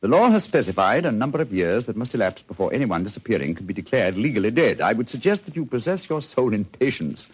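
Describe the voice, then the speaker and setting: Casting: precise, proud English sleuth, rapid delivery, slightly husky, old radio drama. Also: male voice, fairly low pitch, sing-song, poor audio. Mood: formal, cool. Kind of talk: 1940s radio acting.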